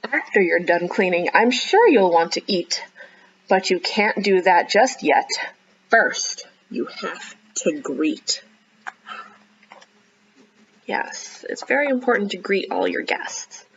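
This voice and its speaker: A woman children's librarian reading a picture book aloud expressively, with animated, encouraging chatty asides to young listeners. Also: mid-range voice, muffled recording, slight background noise. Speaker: children's librarian hosting storytime.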